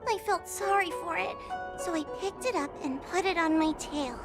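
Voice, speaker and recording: Very high-pitched little fox girl. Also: moderate pace, music in the background. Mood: earnest. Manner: childlike, plaintive, earnest. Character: tiny timid earnest fox girl, a child. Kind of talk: video game character acting.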